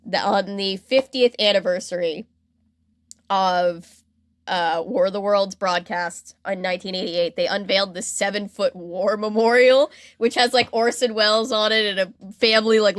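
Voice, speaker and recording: A woman's voice: mid-range voice, moderate pace, no room sound. Chatty woman podcaster, close dry sound. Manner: informal and animated, quoting imagined reactions. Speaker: podcast host telling a story to a co-host. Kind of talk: casual chatty storytelling.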